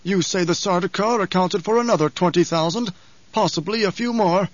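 A man's voice: brisk, no echo. A man voicing a shrewd older male spymaster who speaks mildly and precisely in a raised voice. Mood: calm. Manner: mild, precise, higher voice. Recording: dull, dry recording.

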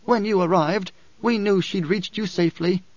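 A man's voice: high voice, fairly even intonation, moderate pace, darkish tone, no room sound, muffled recording, voice pushed up. A man voicing a bitter, proud desert woman, worn but not old, in an audiobook, with an edged, reproachful manner. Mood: edged, pressing.

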